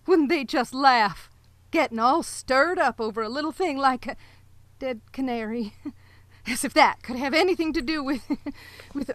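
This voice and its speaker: An actress playing a nervous, timid sheriff's wife in a radio play, speaking haltingly with anxious little laughs, recorded in a home closet. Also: female voice, high voice, fairly bright tone, no echo. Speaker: Mrs. Peters, sheriff's wife. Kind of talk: live radio play acting.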